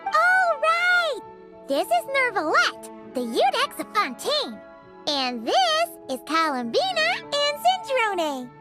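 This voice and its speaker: Game role: tiny cheerful companion, squeaky and childlike, very lively intonation, unhurried pace. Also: female voice, over loud music, full character voice. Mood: excited. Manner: excitable, bubbly. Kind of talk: animated game voice acting.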